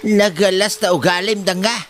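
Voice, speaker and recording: Guy doing a scolding old father, high voice, sharp questions, comedy drama. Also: fairly even intonation, normal speed, slight background noise. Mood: reproachful. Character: scolding, hot-headed old father of a married daughter.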